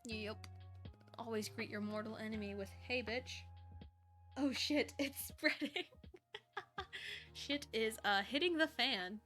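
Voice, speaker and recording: Bright, high-voiced woman reading fanfiction aloud. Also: slow pace, long pauses, faint music behind. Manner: expressive, playful, giggly, reacts to the story. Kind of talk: dramatic story reading with chatty asides.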